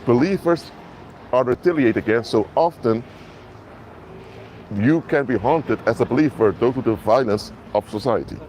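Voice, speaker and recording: Brief: male minister, Papiamento and Dutch native, earnest street live-stream preacher, mid pitch, faint traffic behind. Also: normal speed, pauses often, a little mellow, rough recording. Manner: earnest, repetitive, addresses viewers directly. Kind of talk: outdoor live-stream sermon. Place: outdoors in an urban area. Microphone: mobile phone.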